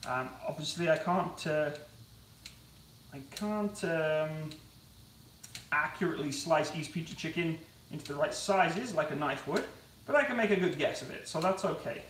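A man's voice: fairly high pitch, expressive, moderate pace, a bit bright, a little hiss or hum. A young man chatting casually on a live stream while cooking in his home kitchen, rambling and self-deprecating. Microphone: camera on tripod across the kitchen. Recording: roomy, distant kitchen sound.

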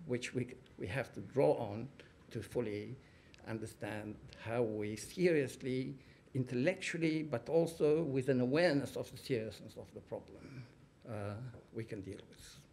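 A middle-aged man, an academic, speaking freely to an audience in a small room, animated and emphatic, with some ums. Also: mid-range voice, moderate pace, creaky, slight background noise. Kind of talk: public talk, speaking freely. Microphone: stand mic, filmed from the audience.

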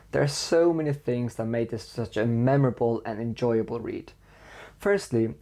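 Mellow-voiced young man reviewing books. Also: medium pitch. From Faroe Islands. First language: Faroese. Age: young adult. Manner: enthusiastic, lists praise in measured steps. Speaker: book reviewer. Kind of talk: scripted book review. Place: indoor room with bookshelves.

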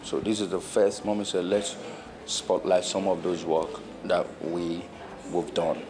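A man talking spontaneously in an interview as an artist, with halting, repetitive phrasing. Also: low voice, steady tone, background noise. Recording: busy crowd behind voice.

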